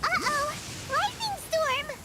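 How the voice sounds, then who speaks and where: A woman voicing a tiny, childlike, cheeky and eager fairy companion in a chirpy, squeaky video game cartoon style. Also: expressive, slow pace, background noise, full character voice. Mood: alarmed. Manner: squeaky, chirpy, teasing, speaks of herself in third person. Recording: loud music behind the voice.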